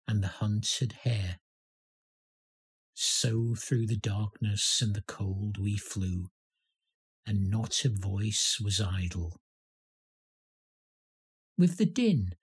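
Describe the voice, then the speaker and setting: Slow, husky man reciting poetry. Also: medium pitch. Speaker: poetry reader.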